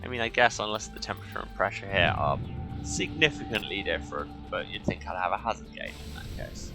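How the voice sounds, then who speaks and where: Guy streaming a game, lowish voice that jumps around, rambly self-mocking jokes, loud music behind. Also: expressive, fast. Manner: wry, jokey, self-deprecating rambling. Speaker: let's play streamer. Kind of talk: casual live game commentary.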